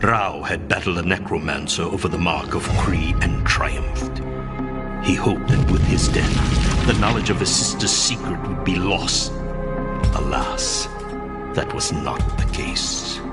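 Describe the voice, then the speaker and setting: Solemn game narrator, deep voice, measured, dark fantasy chronicle, loud music behind. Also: male voice. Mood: grave. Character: grave male chronicler of a dark fantasy war. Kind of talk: scripted video game narration.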